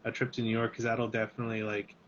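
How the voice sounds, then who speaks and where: A man's voice: mid-range voice, flat delivery, quick pace, a bit bright. A man hosting a casual interview chat, easygoing, who reads out viewer questions. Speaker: host. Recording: lo-fi video call audio with faint noise.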